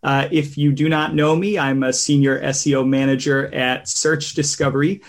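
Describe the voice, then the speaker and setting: A man hosting a podcast in relaxed, rambling casual chat, with a tenor voice and many fillers. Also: medium pitch, normal speed. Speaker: podcast host.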